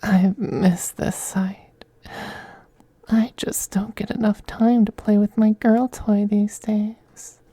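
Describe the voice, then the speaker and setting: A performer voicing a seductive, possessive male femboy vampire in an intimate ASMR roleplay, whispering softly and coaxing in a teasing, gently domineering way. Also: medium pitch, fairly even intonation, slow pace, no room sound. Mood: tender, teasing. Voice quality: breathy, soft whispering.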